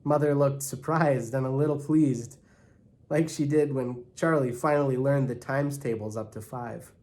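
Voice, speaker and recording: A man reading a storybook aloud in an animated narrating style. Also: medium pitch, moderate pace. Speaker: church staff member reading aloud.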